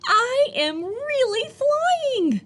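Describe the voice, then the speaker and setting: A woman voicing an eager, excitable little male penguin who exclaims and squeals in a children's storybook. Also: extremely high pitch, drawn-out words, voice pushed up, exaggerated voice. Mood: excited. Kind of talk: children's storybook read-aloud.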